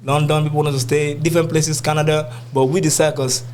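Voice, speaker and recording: A man's voice: a little high, little pitch movement, quick pace, a little mellow, slight background noise. A young man with a Nigerian accent talking casually and colloquially as a musician in a podcast interview. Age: young adult. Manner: colloquial, uses "you know what I'm saying".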